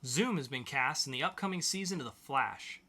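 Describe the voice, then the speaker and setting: A man's voice: mid-range voice, animated, moderate pace, bright, forceful tone. A man hosting a podcast, reading scripted pop-culture news in an announcer-like way with sarcastic quips. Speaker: podcast host.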